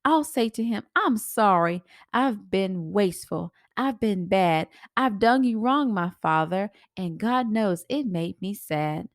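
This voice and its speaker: A woman's voice: mid-range voice, expressive, slow, few pauses, dry recording, studio quality. A woman voicing a cheeky young son in a children's storybook, pleading in sing-song rhyme. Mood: remorseful, pleading. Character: cheeky young runaway son, wheedling and dramatic.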